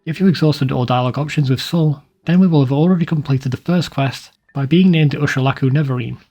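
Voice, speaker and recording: A man's voice: a little high, fast, a little mellow, soft background music. A man reading a scripted video game walkthrough in a plain, informal, instructional way. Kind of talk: scripted guide narration.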